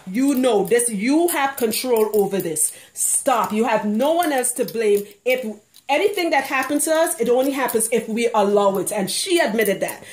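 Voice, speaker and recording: A woman's voice: a little high, reverberant. A woman from Trinidad with a Trinidadian accent, talking casually and outspokenly to her viewers as a hair care consultant, laughing often. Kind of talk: casual vlog talk to camera.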